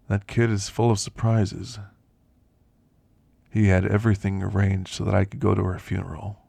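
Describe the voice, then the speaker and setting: A man playing an elderly, ailing werewolf in an ASMR roleplay, gentle and reflective, reminiscing in a soft, deliberate, gravelly voice. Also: fairly low pitch, no room sound. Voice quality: gravelly, husky.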